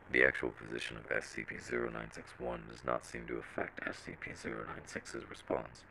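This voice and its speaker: A man playing a measured, clinical reader of a scripted horror audio log, with a very deep, gravelly voice. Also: bright, forceful tone, a little hiss or hum, exaggerated voice, poor audio. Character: SCP Foundation document reader and shaken field soldier.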